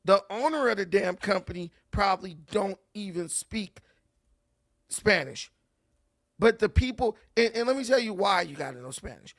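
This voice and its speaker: A man's voice: sits high, lively intonation, normal speed, a bit bright, dry recording, clean studio sound. A man hosting casual reaction commentary, ranting and repeating words as he builds his point. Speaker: commentary host.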